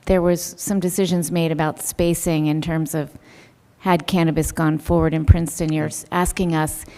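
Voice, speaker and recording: A woman council member reads a resolution aloud and later questions a speaker. Her voice sits slightly low and rings in a reverberant meeting room. She sounds clear and engaged. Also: level tone, moderate pace, warm tone.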